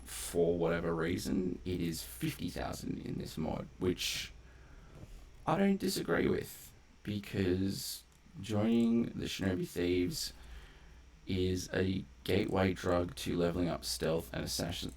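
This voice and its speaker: Very deep-voiced, gravelly man commentating. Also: expressive, unhurried, a little mellow. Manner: rambling, conversational, swears casually. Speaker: game streamer. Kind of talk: casual live gameplay commentary. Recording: faint background noise.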